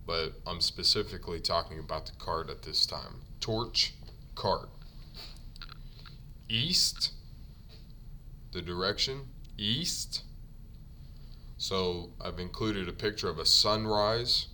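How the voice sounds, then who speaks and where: A man who is a native English speaker teaches ironworker trade vocabulary from slides, saying each term several times slowly for learners before explaining it. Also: deep voice, pushed and bright, a little hiss or hum. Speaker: ironworker union instructor. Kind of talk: slide-based vocabulary lesson.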